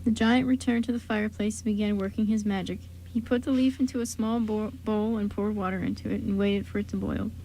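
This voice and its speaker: A woman's voice: medium pitch, fairly even intonation, brisk, hardly any pauses, dark tone, a little hiss or hum, low-quality recording. A woman reading scripted story narration for an amateur radio drama.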